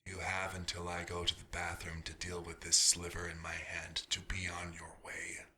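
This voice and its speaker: A man playing a nostalgic, proud vampire doctor in an ASMR roleplay, musing theatrically and slipping into breathy whispers. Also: low-pitched, monotone, moderate pace, pushed and bright, slight background noise. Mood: menacing, indignant.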